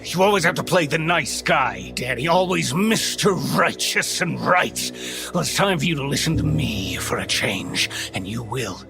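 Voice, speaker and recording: A man's voice: a little high, animated, moderate pace, vocal fry. An actor playing a smug, cruel man in an audio horror drama, taunting in a sneering drawl. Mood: menacing, bitter. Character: smug, cruel, mocking man bullying his friends. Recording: music under the voice.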